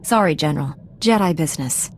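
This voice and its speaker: A woman's voice: low voice, expressive, brisk, slight background noise. A woman acting a composed, dutiful Jedi Knight and mentor in a video game, speaking earnestly and formally. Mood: firm.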